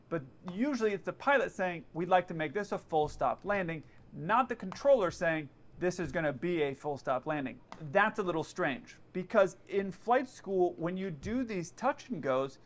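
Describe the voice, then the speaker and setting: High-pitched male voice, casual, opinionated, explanatory commentary. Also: fairly bright tone. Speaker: pilot giving commentary. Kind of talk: explanatory commentary on air traffic radio.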